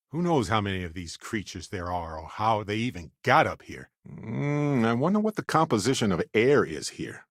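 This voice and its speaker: An actor playing a warm, wry, chatty space explorer companion in a video game, with an affable, teasing and theatrical delivery. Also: male voice, fairly low pitch, dry recording, clean studio sound. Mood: sincere, gentle.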